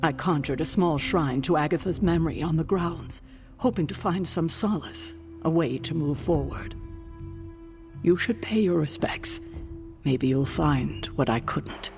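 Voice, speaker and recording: A woman playing a stern, commanding older guardian in a video game cutscene, grave, deliberate and authoritative. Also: deep voice, a little mellow, soft background music. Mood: somber.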